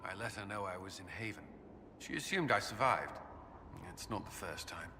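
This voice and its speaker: An actor playing an earnest, guarded military commander in a video game, speaking in formal phrases with a burdened sense of duty. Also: male voice, fairly low pitch, lively intonation, moderate pace, bright, forceful tone, big-room sound, a little hiss or hum. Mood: reserved.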